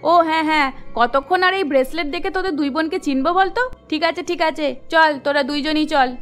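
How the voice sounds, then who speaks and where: A woman's voice: sits high, fairly quick, a bit bright, faint music behind, voice pushed down. A woman voicing an exasperated middle-aged mother of twin daughters in a cartoon, with a weary, nagging, motherly manner. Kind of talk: cartoon story character acting.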